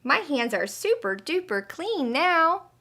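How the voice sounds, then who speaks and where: An excitable, naive little furry alien from a children's storybook, acted out by a woman reader. The voice is high and bright and swings up and down as it exclaims with wide-eyed emphasis. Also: slow pace, full character voice. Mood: pleased. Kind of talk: children's storybook read-aloud.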